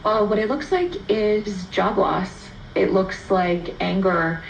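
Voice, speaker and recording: A woman's voice: mid-range voice, slow, creaky, echoey room, distant mic, a little hiss or hum, rough recording. A woman reporter explaining in a radio interview, heard through an AM receiver playing in a room. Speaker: economics reporter. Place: played through a shortwave receiver speaker.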